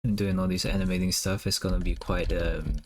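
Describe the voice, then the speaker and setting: Male streamer, Cantonese native, low voice, soft casual musing, lots of fillers. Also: fairly even intonation, a little fast, slight background noise. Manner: soft, musing, thinks aloud with many fillers. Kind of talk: casual livestream chat while drawing.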